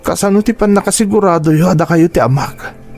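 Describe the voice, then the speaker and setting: A man's voice: high-pitched, quick pace, darkish tone, soft background music. A man playing a troubled son in an audio drama, questioning and emotional. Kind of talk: Ilocano audio drama acting.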